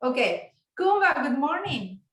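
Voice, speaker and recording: A woman with a fairly high voice and non-native English, heard in a roomy echo over a video call. She instructs a workshop group, encouraging them and showing appreciation. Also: big pitch swings, measured pace, a bit bright. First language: not English. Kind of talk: teaching an online business workshop.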